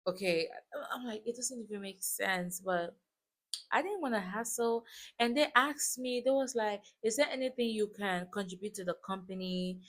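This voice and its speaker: A woman's voice: mid-range voice, normal speed. A woman in her twenties from West Africa, a native French speaker with a West African accent, chatting casually as a vlogger and telling a personal story with emphatic asides. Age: 20s. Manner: chatty, informal, emphatic asides and check-ins. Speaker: YouTube vlogger.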